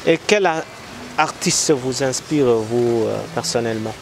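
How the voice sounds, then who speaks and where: A man's voice: mid-range voice, a little slow, slight background noise. A man hosting an interview, asking probing questions with many fillers. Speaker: interviewer, host.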